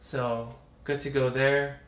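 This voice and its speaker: A young man hosting a casual, relaxed tutorial, chatting as he works through steps in a living room. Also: mid-range voice, slow. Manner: relaxed, chatty, talks while working. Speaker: tutorial host. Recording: roomy home recording with faint hum.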